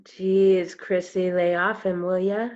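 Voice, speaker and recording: A woman's voice: fairly low pitch, flat delivery, takes it slowly, darkish tone. A woman playing a guarded, sardonic circus performer in a staged play reading, speaking dryly and curtly. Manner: dry, curt, sardonic. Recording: muffled video call.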